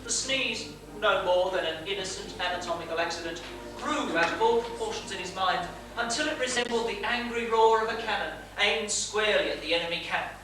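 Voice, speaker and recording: A man playing a mock-solemn storyteller, delivering florid, deliberate comic narration from a theatre stage. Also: sits high, sharp tone, soft background music. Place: small theatre stage, far from mic. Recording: distant, echoey, noisy theatre stage recording.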